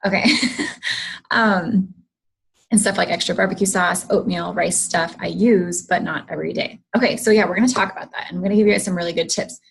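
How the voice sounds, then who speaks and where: A woman's voice: lowish voice, brisk, creaky, slightly rough. A woman hosting a casual livestream, chatty, greeting viewers, and losing her voice. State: losing her voice, clearing her throat. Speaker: host of a livestream.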